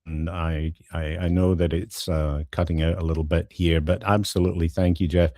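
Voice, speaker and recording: A middle-aged or older man with a Scottish accent, talking politely and with measured pauses as an interview guest. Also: deep voice, level tone, darkish tone, no room sound, studio quality. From Scotland. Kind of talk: conversational personal interview.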